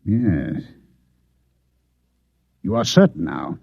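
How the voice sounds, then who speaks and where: An actor playing a formal, coolly menacing Martian agent posing as a newspaper reporter in a mid-century radio drama. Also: male voice, sits low, sing-song, creaky, slightly rough, no echo, exaggerated voice. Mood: cool, ominous. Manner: formal, polite, deliberate. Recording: tinny, narrow-band old radio recording.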